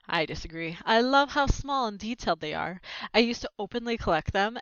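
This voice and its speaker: A woman's voice: medium pitch, fairly bright tone, clean tone, dry recording, dull audio. A woman reading a children's book aloud expressively, breaking in with casual, plain asides to explain the story. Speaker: read-aloud narrator with commentary.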